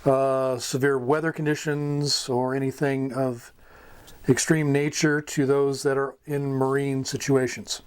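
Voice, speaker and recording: A man's voice: medium pitch, flat delivery, a little slow, clear, resonant tone. An American man giving an informal explanatory talk as a hobbyist teacher. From United States. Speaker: amateur radio hobbyist teaching beginners.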